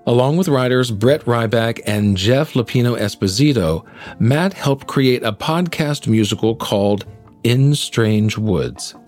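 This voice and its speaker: A man's voice: mid-range voice, expressive, a little slow, darkish tone, faint music behind. A middle-aged man hosting a podcast in a polished, articulate presenting style, moving between scripted narration and interview. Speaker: podcast host, actor and singer.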